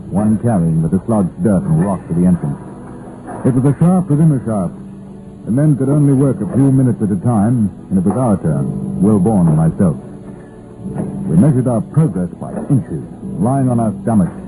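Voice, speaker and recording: An actor playing a composed Scotland Yard chief inspector who narrates his case in measured, deliberate phrases in a 1950s radio crime drama. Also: on the low side, lightly acted. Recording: muffled old radio recording with faint music.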